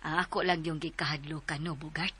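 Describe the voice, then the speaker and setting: Sharp, husky, exasperated Filipino mother. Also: sits low, steady tone, brisk, exaggerated voice. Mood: worried. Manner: scolding, emphatic. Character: exasperated, worried mother and mistress of household. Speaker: radio drama actor. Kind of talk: melodramatic radio drama acting.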